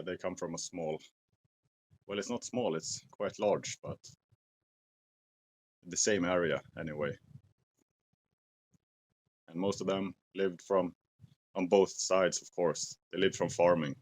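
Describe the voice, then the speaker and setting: A man in his forties with a Swedish accent, talking slowly and thoughtfully to camera outdoors in a forest at dusk, pausing to search for English words. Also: deep voice. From Sweden. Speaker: outdoor vlogger. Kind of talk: casual talk to camera.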